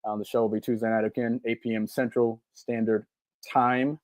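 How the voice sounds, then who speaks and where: A man's voice: mid-range voice, flat delivery, normal speed, darkish tone, no room sound. A man, co-hosting a live-stream podcast and reading chat comments in a rambling, upbeat way. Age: 40s. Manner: rambling and upbeat, full of ums and repeats. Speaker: podcast co-host.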